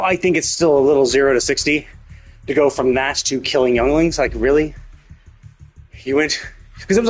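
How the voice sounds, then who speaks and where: Male game streamer, mid-low everyday pitch, casual, rambling, opinionated, faint music behind. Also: slightly rough. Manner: opinionated, rambling, repeatedly checking with "right?". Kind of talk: casual live-stream commentary.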